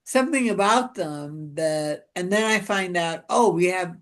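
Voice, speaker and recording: Slow, musing woman interviewer. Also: fairly low pitch. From Pakistan. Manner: slow and musing, with many restarts and "you know". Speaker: interviewer and show host. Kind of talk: casual conversational interview.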